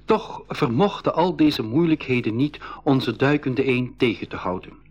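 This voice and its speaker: An expedition member recounting his adventure for a radio drama. His mid-pitched voice tells the story in a measured way. The 1980s recording sounds dull. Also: lively intonation, darkish tone. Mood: measured storytelling. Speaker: narrator. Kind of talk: scripted first-person radio drama narration.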